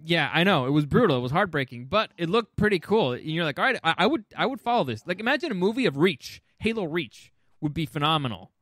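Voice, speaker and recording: A man's voice: fairly high pitch, big pitch swings, fast talker, a bit bright, no room sound. A man co-hosting a podcast, chatting casually and enthusiastically with some swearing. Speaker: podcast co-host. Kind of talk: casual conversational chat.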